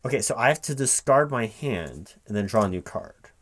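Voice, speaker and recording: A man in a spoken, unwhispered ASMR roleplay, talking casually and haltingly to an unheard partner as if puzzling things out aloud. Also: mid-range voice, animated, a bit bright. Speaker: recruiting manager interviewing a gamer in a one-sided roleplay. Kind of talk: spoken ASMR roleplay, casual one-sided conversation, not whispered.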